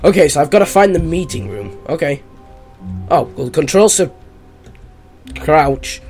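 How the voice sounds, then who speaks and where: High-voiced young man, hoarse gaming chatter. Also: sing-song, a little slow, darkish tone, soft background music. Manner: rambling, chatty, full of fillers. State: hoarse, losing his voice. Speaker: gaming YouTuber. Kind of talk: casual gameplay commentary.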